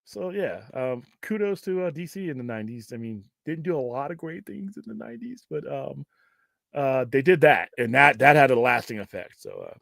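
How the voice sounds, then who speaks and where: An American man with a gruff voice hosts a casual livestream, talking in a rambling, off-the-cuff way and swearing casually. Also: mid-range voice, big pitch swings, moderate pace, fairly bright tone, dry recording, clean studio sound. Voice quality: gruff, self-described Cookie Monster voice. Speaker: host of a pop culture livestream show. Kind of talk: casual livestream chat, question and answer.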